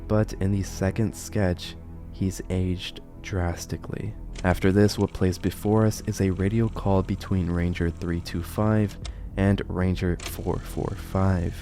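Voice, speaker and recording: Man, video host, horror commentary, very deep, soft dark timbre. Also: level tone, unhurried, faint music behind. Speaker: online video host and narrator.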